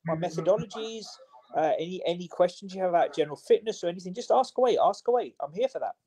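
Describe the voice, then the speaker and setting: Mellow-voiced man, chatty. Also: high-pitched, lively intonation, quick pace, poor audio. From Southwest London. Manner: chatty, many fillers and restarts. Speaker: personal trainer. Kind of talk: casual interview answers.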